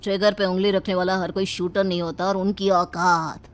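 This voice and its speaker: A woman's voice: lowish voice, little pitch movement, normal speed, soft background music, voice pushed down, natural voice. A woman voicing a swaggering young daughter-in-law who talks like a film action hero in dramatic lines. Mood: menacing. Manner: cocky, dramatic dialogue delivery.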